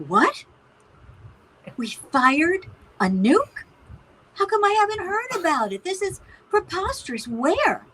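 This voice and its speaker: A woman's voice: very high-pitched, big pitch swings, takes it slowly, pauses often, sharp tone, exaggerated voice, rough recording. A woman playing a frazzled, sardonic mother of a grown son, dry and exasperated, in naturalistic play dialogue. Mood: sardonic, indignant.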